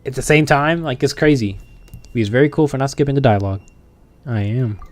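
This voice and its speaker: A man's voice: medium pitch, a little fast, soft background music. A young man giving casual, joking, slangy commentary as he streams a video game.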